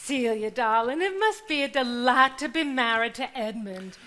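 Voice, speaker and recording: A woman's voice: a little high, animated, moderate pace, bright, forceful tone. A woman playing a sugary, chiding Southern wife in a live stage drama, sweetly scolding. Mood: sweetly pointed.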